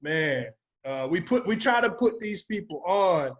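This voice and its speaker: Male podcast co-host, slightly high voice, loose slangy chat with swearing, slightly dull recording. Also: animated, a little slow. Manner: informal and slangy, swears freely. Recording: dull, lo-fi audio.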